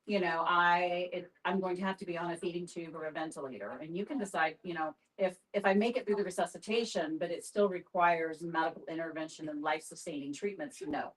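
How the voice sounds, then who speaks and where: A woman's voice: lowish voice, brisk, few pauses. A woman explaining things in a group discussion, feeling her way through her phrases. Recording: voice picked up from a distance.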